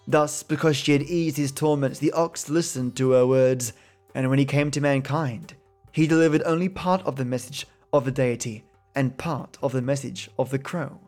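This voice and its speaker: A man's voice: medium pitch, steady tone, moderate pace, darkish tone, faint music behind. A man steadily reading folk tales aloud as a podcast host. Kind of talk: storytelling narration.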